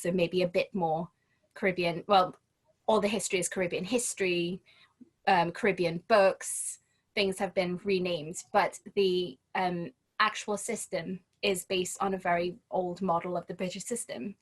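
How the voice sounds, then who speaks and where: A woman's voice: lowish voice, breathy edge. Thoughtful woman guest. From Trinidad and Tobago. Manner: thoughtful, restarts phrases often. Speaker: guest, home educator. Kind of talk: casual conversational interview.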